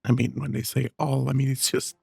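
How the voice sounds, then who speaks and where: A streamer comments casually over a game in an understated, shy way. The voice is dark and husky with plenty of fry. It is recorded dry, close to the listener. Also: medium pitch, level tone, a little fast, no room sound, faint music behind. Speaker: streamer playing and reacting to a game.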